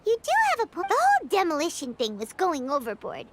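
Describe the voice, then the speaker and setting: A woman voicing a tiny, childlike female fairy companion, chatty and inquisitive, in an animated video game style. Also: high voice, quick pace, background noise. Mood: pondering. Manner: exclamatory, refers to self in third person.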